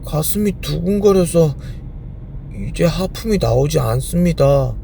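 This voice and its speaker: A timid young storybook prince, acted by a grown man, with a voice lifted in pitch. He is earnest and polite, hesitant and easily flustered, over clearly audible noise. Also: expressive, measured pace, dark tone.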